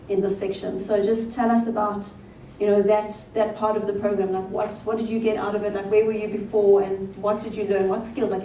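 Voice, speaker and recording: A woman's voice: mid-range voice, dark tone. A woman moderating a stage interview, asking loose, casual questions with many fillers. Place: event hall. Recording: roomy hall from far off with faint hum.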